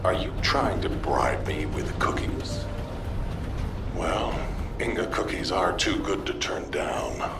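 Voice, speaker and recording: An actor playing a stern, formal operations commander in a video game, giving measured official briefings. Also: male voice, low-pitched, lively intonation, reverberant, far from the mic, over music, full character voice. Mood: dry, conceding.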